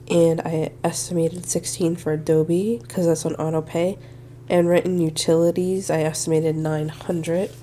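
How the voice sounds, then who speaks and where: Low, flat-voiced congested woman. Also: a little hiss or hum. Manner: friendly, thinks aloud while working numbers. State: congested, a little nasal. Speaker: budgeting channel host. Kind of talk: casual budgeting walkthrough to camera.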